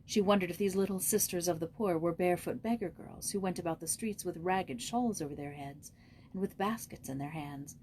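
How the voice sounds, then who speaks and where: A woman with a slightly low voice narrates a children's story expressively. Faint noise sits behind the reading. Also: fairly quick, a little mellow. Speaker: audiobook reader.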